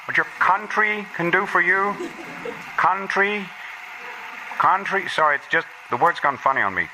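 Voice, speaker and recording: A man's voice: sits high, lively intonation, measured pace, noisy background. An actor playing an earnest American statesman giving a speech, oratorical but easily distracted, in a radio comedy sketch. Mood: puzzled. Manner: oratorical, drifting into childlike puzzlement.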